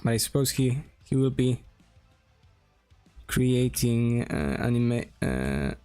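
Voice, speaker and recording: A man with a Polish accent talks slowly as he draws, thinking aloud with many pauses and restarts. His voice sits at an ordinary middle pitch. Quiet music plays faintly behind him. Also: big pitch swings, clean tone. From Poland. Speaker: streamer and game developer. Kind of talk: casual drawing stream talk.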